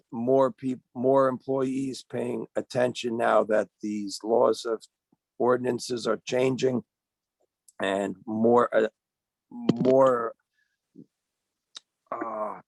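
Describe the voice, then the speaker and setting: Gravelly man, voice going, halting delivery on a video call, dry sound. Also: medium pitch, dry recording, low-quality recording. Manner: halting, searching for words. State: losing his voice. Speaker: union organizer. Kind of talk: panel remarks on a webinar.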